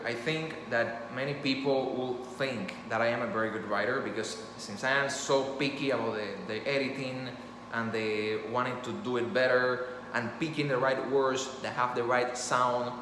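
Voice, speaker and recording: A man whose first language is Spanish talks earnestly and without a script into his phone. His voice sits in a middling register and rings around an echoey room with a long tail. A steady background hum sits clearly under his words. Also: mid-range voice. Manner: rambling, earnest, many restarts. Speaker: storyteller channel host. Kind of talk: impromptu talk to camera.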